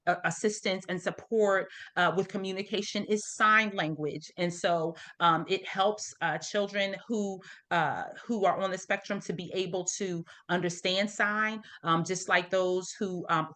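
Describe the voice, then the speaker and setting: A woman's voice: on the low side, a bit bright. A middle-aged woman from the United States hosting an online support group, explaining informally and often checking in with her listeners. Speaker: parent support group host. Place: online video meeting. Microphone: video call.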